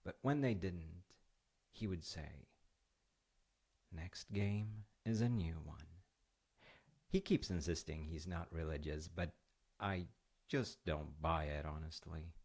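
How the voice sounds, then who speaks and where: Male actor as comforting, slightly goofy dad for intimate roleplay: very low, gravelly, soothing, conversational. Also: takes it slowly, pauses often, a little mellow, full character voice. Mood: fond storytelling. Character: gentle, reassuring, slightly goofy dad comforting his child. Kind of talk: intimate roleplay monologue with storytelling.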